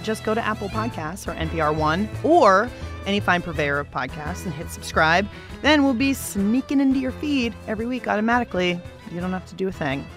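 An American woman hosts a radio show with a playful, conversational delivery. Her mid-pitched voice is chatty and wry, and music plays clearly behind her. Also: animated, a little fast. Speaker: radio show and podcast host. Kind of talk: playful conversational scripted narration.

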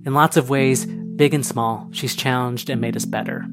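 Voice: medium pitch, steady tone, moderate pace. A podcast editor giving a casual, prepared tribute message. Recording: prominent guitar music underneath. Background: guitar music.

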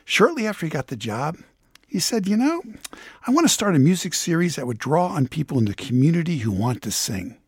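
A man's voice: on the high side, very animated, a little fast, slightly rough, no room sound, clean studio sound. An American man telling stories as a radio contributor in conversational reporting style.

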